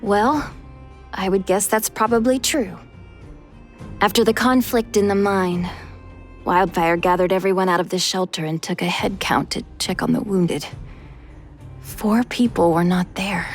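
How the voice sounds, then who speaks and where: A woman playing an earnest, proud vagrant miner in a video game, speaking in a measured, explanatory way. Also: medium pitch, close to an everyday voice. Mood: earnest, matter-of-fact. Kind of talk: English video game voice acting. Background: game music.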